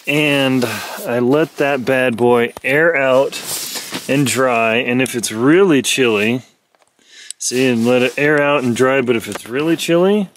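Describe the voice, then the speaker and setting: A man's voice: mid-range voice, recorded from a distance, a little hiss or hum. Playful middle-aged man filming in a tent. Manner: lively, playful, mock-dramatic asides. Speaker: explorer and adventurer vlogger. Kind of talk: casual how-to vlog. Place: inside a small tent in the mountains. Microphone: phone camera held at arm's length.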